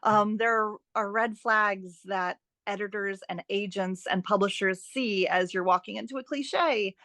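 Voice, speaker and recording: A woman's voice: mid-range voice, measured pace, a bit bright, no room sound. A woman speaking as an expert guest, explaining advice conversationally with emphasis and rhetorical questions. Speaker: guest editing expert and author.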